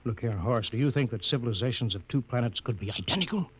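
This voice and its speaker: An actor with an American accent playing a skeptical, authoritative spaceship captain in a 1950s radio drama. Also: male voice, mid-range voice, expressive, fast, husky. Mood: baffled, insistent. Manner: authoritative, clipped orders. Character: commanding, skeptical male spaceship captain insisting on logic. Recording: noisy old 1950s radio recording.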